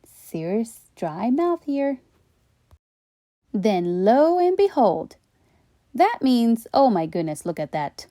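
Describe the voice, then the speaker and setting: Woman reading a children's story, very animated pitch. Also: slow, pauses often, dry recording, studio quality. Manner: expressive, playful asides to young listeners. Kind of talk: animated storybook read-aloud.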